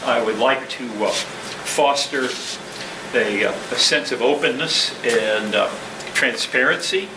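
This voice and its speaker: Echoey, distant man chairing a club meeting. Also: medium pitch. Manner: halting, measured, formal. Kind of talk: prepared address to a club meeting. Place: indoor meeting room with ventilation hum. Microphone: distant room microphone. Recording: distant mic, echoey room, clear background noise.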